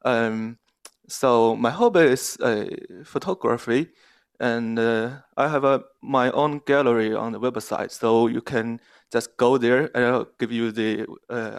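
A man's voice: medium pitch, clean tone. A man introducing himself to a group, hesitant and full of fillers. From China, near Shanghai. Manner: hesitant, many fillers and restarts.

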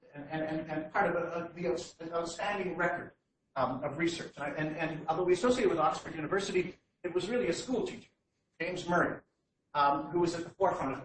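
A man's voice: on the high side, far from the mic. Canadian man lecturing in an echoey hall. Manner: hesitant, many fillers and restarts. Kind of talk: public lecture without slides. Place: large hall. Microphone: distant room mic. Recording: very echoey hall, heard from afar, faint noise.

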